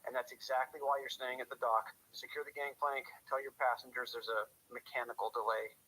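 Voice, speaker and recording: Man acting a police dispatcher, firm, dry, clipped, very bright radio-filtered voice, faint hiss, radio drama. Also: mid-range voice, level tone, a little fast. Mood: firm, urgent. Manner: clipped radio procedure, authoritative. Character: steady, firm night-shift police officer, dry and commanding. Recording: hissy police radio or telephone line.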